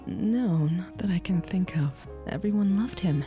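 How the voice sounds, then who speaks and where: A woman playing a spoiled, wealthy femme fatale in a noir ASMR roleplay, in a breathy, half-whispered voice. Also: on the low side, lively intonation, a little slow, music in the background. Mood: cool, defensive.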